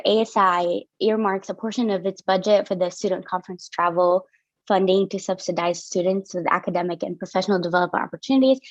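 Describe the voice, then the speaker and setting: A woman's voice: fairly low pitch, no echo. A young woman from Syria, a student finance officer, explains funding policies on a video call in a casual way with many fillers. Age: young adult. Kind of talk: presenting over a video call. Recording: dull lo-fi video call audio.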